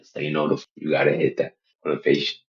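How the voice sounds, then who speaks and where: Very deep-voiced young man, slangy and joking. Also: monotone, fast, dull audio. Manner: slangy, profane, joking, repeats phrases. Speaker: reaction video host. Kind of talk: casual unscripted reaction commentary.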